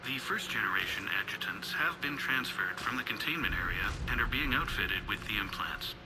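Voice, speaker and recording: A man's voice: on the low side, animated, fairly quick, music in the background, lightly acted, rough recording. A man voicing a detached, formal scientist dictating a sinister project log in a video game, clinical and emotionless. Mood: cold, clinical.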